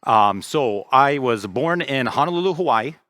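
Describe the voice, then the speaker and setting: A man's voice: medium pitch, animated, normal speed, sharp tone, clean studio sound. A man in his thirties from Florida, giving a casual, friendly talk to parents as league president, with lots of ums and uhs, on a handheld mic in a church hall. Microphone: handheld microphone.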